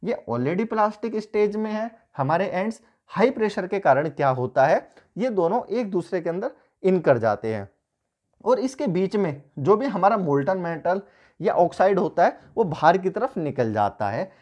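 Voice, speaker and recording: A man's voice: high voice, sing-song, normal speed, a little mellow. A man in his thirties teaching an engineering lesson at a whiteboard, explaining step by step while he draws and points, recorded by a camera a few feet away in a small room. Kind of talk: whiteboard lecture.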